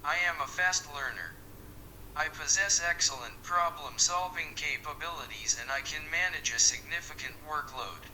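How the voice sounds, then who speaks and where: A man from Egypt in his early thirties, a Quran teacher, reading out his answers in a slow, careful recital for a practice job interview. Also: fairly high pitch, pushed and bright, a little hiss or hum, rough recording. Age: early 30s.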